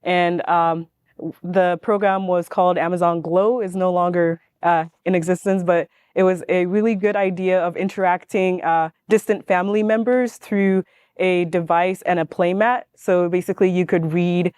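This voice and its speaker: Even-toned young woman, clear voice. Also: lowish voice, moderate pace, no echo, studio quality. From born in Ghana, grew up in Washington state, US. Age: young adult. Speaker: panelist, manufacturing engineer. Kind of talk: informal personal introduction on a panel.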